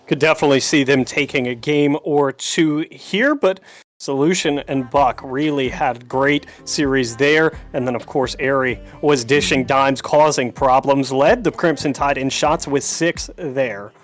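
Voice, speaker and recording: A man's voice: mid-range voice, unhurried, soft background music. A man doing live play-by-play commentary of a video game match, using sportscaster slang. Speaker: esports commentator, play-by-play caster.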